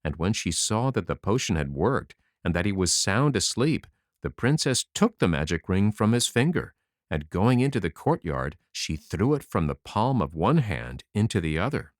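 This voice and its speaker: A man narrating a fairy tale as an audiobook, in an expressive storytelling style. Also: low-pitched, moderate pace, no echo, clean studio sound.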